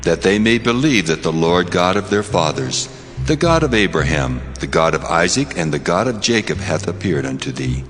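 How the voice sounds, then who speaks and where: Man voicing a deep, reverberant, commanding God. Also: big pitch swings, normal speed, fairly bright tone. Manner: solemn, authoritative, declamatory. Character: God, commanding and solemn male deity giving orders. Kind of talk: dramatized scripture reading. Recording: long cavernous reverb over music.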